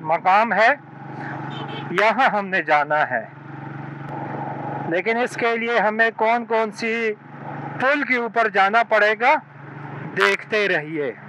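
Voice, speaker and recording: High-pitched Pakistani man vlogging over road noise. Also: slow pace, long pauses, poor audio. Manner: explanatory, addresses viewers directly. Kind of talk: moto vlog commentary to viewers. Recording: loud vehicle and road noise.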